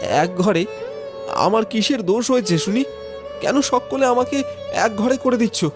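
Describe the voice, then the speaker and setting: Man voicing a high-pitched, sorrowful village ghost. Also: measured pace, over music. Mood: desperate. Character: sorrowful, kindly male ghost of a poor villager. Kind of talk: dramatic cartoon voice-over.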